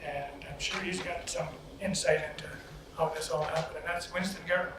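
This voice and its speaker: Host heard from afar in echoey room. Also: male voice, on the high side, fairly even intonation, normal speed. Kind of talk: hosting a story circle. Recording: distant echoey room, faint noise.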